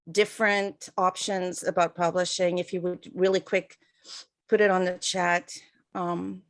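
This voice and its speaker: A woman, giving a chatty online talk over a video call, with lots of fillers and self-deprecating asides. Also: fairly low pitch. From Portugal. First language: Portuguese. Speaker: guest speaker. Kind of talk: online presentation.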